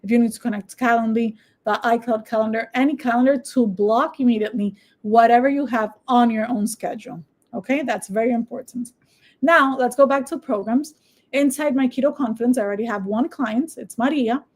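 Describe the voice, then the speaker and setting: A Dominican woman whose first language is Spanish, speaking English with a Spanish accent as she leads a screen-share tutorial and sales pitch, briskly persuasive and often checking in with "okay?". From Dominican Republic. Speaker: online business coach.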